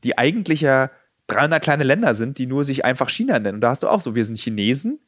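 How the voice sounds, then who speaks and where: A man's voice: medium pitch, big pitch swings, quick pace, no room sound. Reflective man chatting in a dry studio. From Berlin. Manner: rambling, reflective, acts out quoted voices. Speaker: podcast host. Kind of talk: casual podcast conversation.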